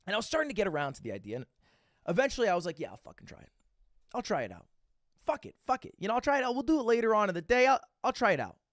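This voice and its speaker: A man in his twenties, a streamer reacting to a video, telling a story with excitable, profane energy and acting out what others said. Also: fairly high pitch, no echo, studio quality. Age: 20s. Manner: excitable, profane, acts out quotes.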